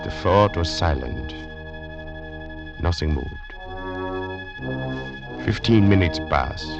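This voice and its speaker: A man's voice: sits low, animated, slow pace, gravelly, exaggerated voice. An actor playing a grave, shaken French Foreign Legion commandant telling his story in dramatic 1940s radio style. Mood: grim suspense. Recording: old radio recording, dull, with music.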